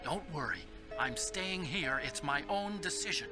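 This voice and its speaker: Over background music, an earnest young engineer in a video game. His voice is high and boyish, nerdy but resolute. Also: animated, measured pace. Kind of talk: dramatic video game radio dialogue acting.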